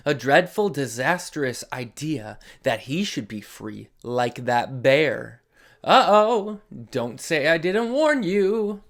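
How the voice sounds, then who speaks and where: Animated man reading a children's picture book. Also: a little high. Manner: dramatic emphasis, drawn-out key words, casual asides to young listeners. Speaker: host of a children's read-along story series. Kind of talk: expressive picture-book read-aloud for children.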